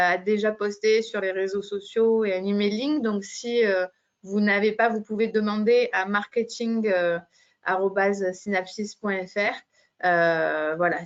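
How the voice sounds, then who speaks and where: A woman hosting a webinar, speaking informally as she gives thanks and announcements. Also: medium pitch. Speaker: webinar host for a medical device company. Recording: muffled, band-limited webinar audio.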